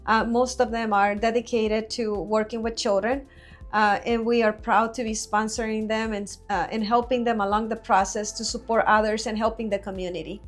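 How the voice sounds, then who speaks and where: Earnest Mexican-born woman, flat steady voice. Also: fairly high pitch, faint music behind. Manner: earnest, measured, with frequent uh fillers. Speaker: guest business owner. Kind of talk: interview answers. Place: studio.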